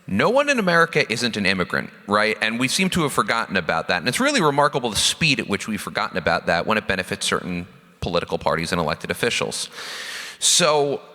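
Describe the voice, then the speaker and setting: A man state representative answering on a panel in an animated, impassioned way. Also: fairly low pitch, quick pace. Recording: reverberant hall.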